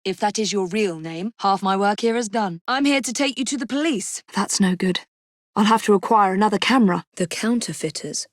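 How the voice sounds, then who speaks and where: An actress playing a poised, determined young assassin in a Victorian London video game, with an English accent and a crisp, politely commanding delivery. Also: female voice, mid-range voice, no room sound, clean studio sound, close to an everyday voice. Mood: brisk, earnest. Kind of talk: scripted game voice acting.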